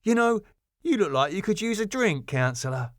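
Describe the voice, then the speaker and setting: Man playing a cheeky, smooth-talking man, pitched up. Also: big pitch swings, normal speed, no room sound, clean studio sound. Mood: coaxing.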